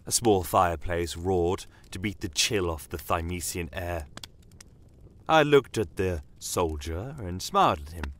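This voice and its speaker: A man's voice: deep voice, animated, measured pace, a bit bright, a little hiss or hum. A man reading scripted first-person dramatic narration for a fantasy story podcast.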